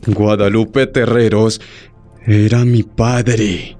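An actor playing a cold, vengeful army colonel in an audio drama, speaking with deliberate command. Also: male voice, lowish voice, flat delivery, a little mellow, faint music behind. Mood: bitter, vengeful.

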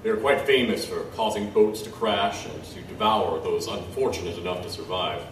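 A man playing a wry, knowledgeable tribesman in an audio drama, measured and musing as he tells lore. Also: on the low side, lightly acted. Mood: grave, explaining. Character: wry, knowledgeable Chinook tribesman, old friend and lore-teller. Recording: roomy, distant, faint background noise.

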